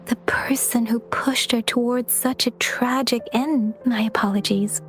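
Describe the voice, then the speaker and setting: A woman voicing a gentle, earnest young female outrider in a video game cutscene, speaking softly and reflectively with hesitant pauses. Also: fairly high pitch, steady tone. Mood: troubled, earnest. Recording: game music behind the voice. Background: game soundtrack music.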